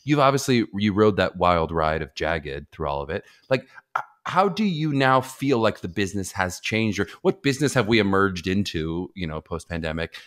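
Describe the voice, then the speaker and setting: A man hosting a podcast interview, asking long conversational questions and often adding quick affirming murmurs. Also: deep voice. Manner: animated, chatty, frequent affirming murmurs. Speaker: podcast host.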